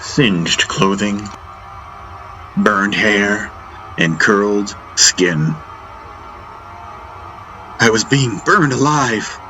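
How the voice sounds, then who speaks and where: A man with a fairly deep voice narrates an audiobook in the first person. His delivery is theatrical and deliberate, with dramatic pauses between phrases. Atmospheric music plays clearly behind him. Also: bright, forceful tone, rough recording. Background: atmospheric music soundtrack.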